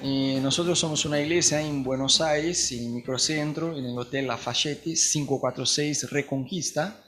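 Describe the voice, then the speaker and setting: A man from Brazil with a Brazilian accent and Portuguese as his first language, hosting a casual podcast chat in a teasing, joking way and nodding along with quick short replies. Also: fairly high pitch, fairly bright tone, soft background music. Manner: joking, teasing, eager back-channel replies. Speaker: podcast host, missionary.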